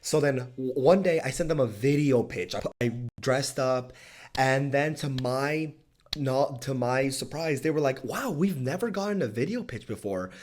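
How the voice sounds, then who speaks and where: A man's voice: medium pitch, expressive, normal speed, darkish tone, slight background noise. A man hosting a casual podcast chat, telling a story in a laid-back, chatty way and asking questions. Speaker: podcast host.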